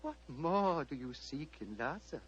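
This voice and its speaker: An actor playing a serene, fatherly old Tibetan abbot in a measured, formal radio drama style. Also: on the high side, expressive. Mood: solemn questioning. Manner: measured, formal, gently paternal. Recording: muffled vintage radio, hum and hiss.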